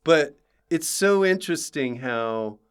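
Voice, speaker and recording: Male American podcast host, crisp resonant voice, casual joking chat with digressions. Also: a little high, expressive, slow. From United States.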